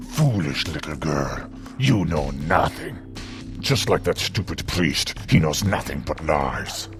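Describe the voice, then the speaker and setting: Casting: condescending military zealot villain for a game. Extremely low voice, grandiose menace, scored with music. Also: male voice. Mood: contemptuous. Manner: pompous, condescending. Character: arrogant, menacing Nazi officer villain, fanatical about occult relics. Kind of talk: video game cutscene acting. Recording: game audio with music behind.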